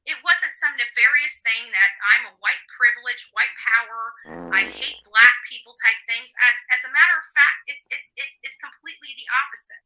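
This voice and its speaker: A woman's voice: fairly high pitch, moderate pace, bright, forceful tone, slight background noise, poor audio. An American woman talking unscripted to her viewers in a defensive, emphatic way, repeating herself for stress.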